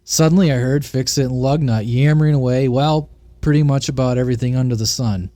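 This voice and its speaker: A man reads a humorous first-person story in a folksy, playful manner. His pitch is medium and the sound is dry and close. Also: fairly even intonation, fairly quick, warm tone, no echo. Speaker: narrator of CB radio short stories. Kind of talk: scripted comic storytelling narration.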